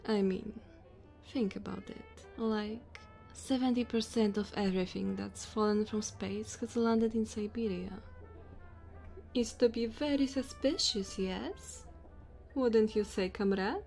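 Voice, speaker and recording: A woman's voice: medium pitch, takes it slowly, vocal fry, darkish tone, faint music behind. A woman playing a sassy, flirty diner waitress in an ASMR roleplay, teasing and chatty.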